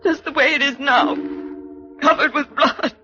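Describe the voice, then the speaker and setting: High-pitched anxious housewife. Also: female voice, a little slow. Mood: tearful, terrified. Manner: pleading, fretful. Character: anxious, devoted middle-aged housewife. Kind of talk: 1940s radio drama acting. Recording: muffled, noisy vintage radio recording.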